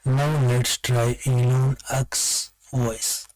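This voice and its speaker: Slow, even-voiced man giving a tutorial. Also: medium pitch, poor audio. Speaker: software demonstrator. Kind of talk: step-by-step tutorial narration.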